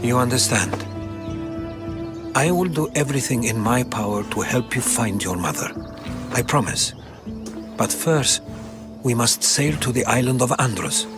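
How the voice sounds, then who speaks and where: An actor playing a learned, secretive older Greek historian in a video game, speaking in a measured storytelling way. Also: male voice, medium pitch, expressive. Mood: earnest, intrigued. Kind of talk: dramatic video game dialogue. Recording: game music under the voice.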